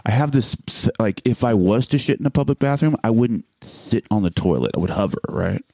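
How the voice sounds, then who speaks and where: A man with a low voice hosts a loose podcast chat. He rambles through half-finished thoughts and restarts them. The sound is dry and close to the mic. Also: expressive, brisk, gravelly, dark tone, no room sound. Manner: rambling, restarts, laughs often. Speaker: podcast host. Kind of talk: casual podcast banter.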